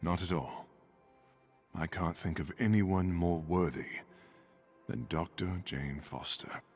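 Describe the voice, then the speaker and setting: A man's voice: deep voice, takes it slowly, faint music behind. A man voicing a hearty, gallant warrior god prince in a video game, with grand, courtly phrasing. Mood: gentle, admiring. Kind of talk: video game voice acting, dramatic dialogue.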